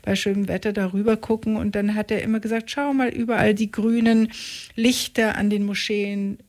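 A woman's voice: mid-range voice, flat delivery, moderate pace, a little mellow. A middle-aged woman from Israel, a radio interview guest, recounting her life in a reflective way with frequent hesitations.

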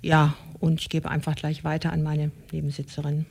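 A woman, the founder of a local group, telling her story in a casual interview with many pauses and fillers. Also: low-pitched, monotone, vocal fry, darkish tone, husky. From Germany. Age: 60s.

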